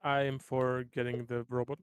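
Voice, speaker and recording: A man's voice: medium pitch, little pitch movement, moderate pace, clean tone, no echo. A man chatting informally as a player during a tabletop roleplaying session. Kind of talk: casual table talk.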